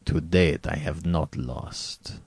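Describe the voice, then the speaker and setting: A man's voice: very deep voice, takes it slowly, gravelly, darkish tone, breathy edge, no room sound, voice pushed down, exaggerated voice. A man voicing an urbane, arrogant aristocratic general in a story, with bland, precisely worded condescension. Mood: smug. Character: urbane, arrogant male aristocrat general who hunts men.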